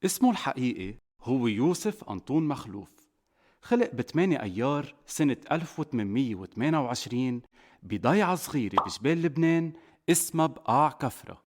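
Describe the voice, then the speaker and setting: Lebanese man telling stories with animated pitch. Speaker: narrator, a father telling a saint's life to children. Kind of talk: scripted children's story narration.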